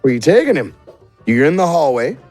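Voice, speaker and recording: A man's voice: a little high, sing-song, quick pace, a little mellow, faint music behind. A man narrating a tabletop game as game master, with theatrical, improvised storytelling.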